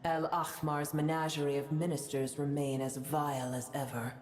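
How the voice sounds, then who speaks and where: A woman's voice: low voice, flat delivery, normal speed, a little mellow, lightly acted. A woman playing a proud, disdainful ancient dragon god in a video game, formal and scornful, in a roomy echo. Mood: haughty, scornful. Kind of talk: scripted video game dialogue. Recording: roomy echo, music underneath. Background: music.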